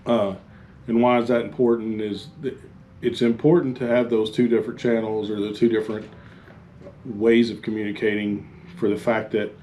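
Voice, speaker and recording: A man with a fairly low voice talks informally, like a fire chief instructing his crew. He is plain-spoken and halting, repeating himself, with faint noise behind him. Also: mellow.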